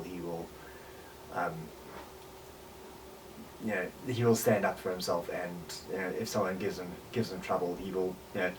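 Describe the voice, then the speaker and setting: A South African man in his forties speaks in a lowish voice, recorded a little way from the microphone. He talks reflectively and haltingly, as in an interview, often pausing and repeating words. A faint noise sits behind him. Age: 40s. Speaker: podcaster and voice actor. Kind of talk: personal reflection, interview-style talk. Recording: distant mic with faint background noise.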